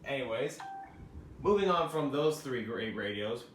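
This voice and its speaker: A man casually showing his radio collection on video, rambling and self-deprecating, swearing freely and sometimes breaking into shouts. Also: mid-range voice, expressive, a little slow. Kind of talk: casual show-and-tell vlog. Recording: echoey room with background noise.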